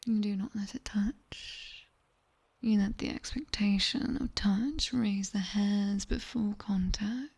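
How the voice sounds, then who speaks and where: Slow, whispering British demoness tutor, played by a woman. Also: medium pitch, fairly even intonation, darkish tone, heavily acted. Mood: soft, instructing. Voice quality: breathy whisper. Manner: wry, instructing, unhurried. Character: sharp-tongued nightmare demoness tutor, teasing and commanding. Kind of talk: ASMR roleplay, guided sleep meditation. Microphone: close binaural microphone.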